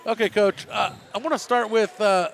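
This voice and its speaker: A man's slightly high voice, the easy patter of a broadcaster who jokes and restarts his sentences. He calls the final minutes and then chats with a coach, recorded in a school gymnasium. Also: steady tone, normal speed, gravelly, slight background noise. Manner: chatty, joking, frequent restarts. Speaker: play-by-play broadcaster. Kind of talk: sports commentary and postgame interview.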